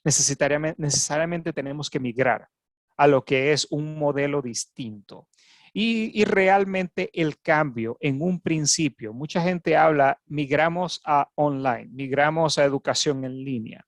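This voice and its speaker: A man's voice: on the high side, a little slow, no room sound. Venezuelan guy giving a webinar keynote over a video call, lots of ums and repeats, dead dry sound. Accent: Venezuelan Spanish. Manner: frequent hesitations and repeated words. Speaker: keynote speaker. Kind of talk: academic webinar talk. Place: home office in Canada.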